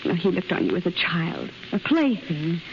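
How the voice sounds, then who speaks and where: An actress playing an earnest rival in love in a 1930s radio drama, pleading urgently. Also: female voice, lowish voice, wildly swooping pitch, moderate pace, creaky, heavily acted. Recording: muffled, faintly hissy 1930s radio recording.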